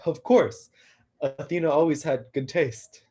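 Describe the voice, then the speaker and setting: A man playing an awkward, downcast young god of destruction in a staged reading, husky and hesitant. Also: on the high side, unhurried, warm tone. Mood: nervous, awkward. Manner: hesitant, self-conscious. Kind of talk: live staged play reading.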